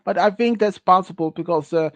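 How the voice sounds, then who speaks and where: A man in his early thirties, not a native English speaker, hosting a casual quiz on a stream and talking in a slow, halting way with many restarts. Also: high voice, dry recording. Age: early 30s. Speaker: quiz host.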